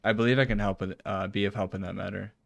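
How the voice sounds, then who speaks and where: A man's voice: low voice, steady tone, fast talker, creaky, fairly bright tone, no echo. A man streaming a video game, reading its formal cutscene dialogue aloud with some stumbles and casual reactions.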